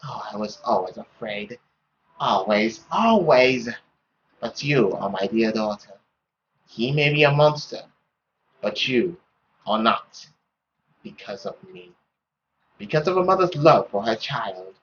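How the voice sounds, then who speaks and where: A man voicing a stern, possessive middle-aged mother in a dramatic, deliberate, scolding manner. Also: mid-range voice, expressive, a bit bright, highs cut off, slight background noise, pitched below the performer's own voice. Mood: insistent, protective.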